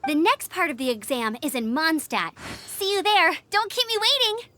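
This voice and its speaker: A woman's voice: very high voice, animated, normal speed, background noise. A woman voicing a perky, eager young female gliding instructor in a video game, bubbly and encouraging. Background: game music.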